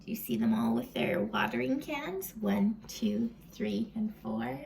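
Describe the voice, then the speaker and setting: A woman with a fairly high voice and a noticeable creak reads a picture book to small children at a slow, careful pace. She is expressive, pausing to put questions to her young listeners and sometimes slipping into a whisper. There is faint noise behind her in a home recording. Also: rough recording. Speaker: read-aloud host for young children. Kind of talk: animated children's storybook read-aloud with chat to the listeners.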